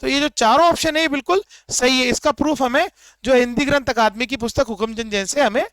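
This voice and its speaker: A man's voice: extremely high pitch, fast talker, fairly bright tone, no room sound. A man teaching exam preparation, walking through answer keys with repeated phrases and rhetorical questions. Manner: repetitive, explanatory, rhetorical questions.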